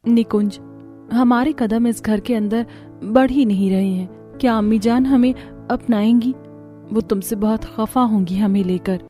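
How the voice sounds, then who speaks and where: A woman voicing a gentle, deferential young bride in a cartoon family story. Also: fairly high pitch, a little slow, faint music behind, natural voice. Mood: worried, gentle.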